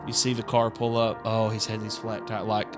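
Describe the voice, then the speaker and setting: Lowish-voiced man from the American South, chatting. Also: completely flat delivery, moderate pace, music in the background. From Southern United States. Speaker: podcast co-host. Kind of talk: casual chat.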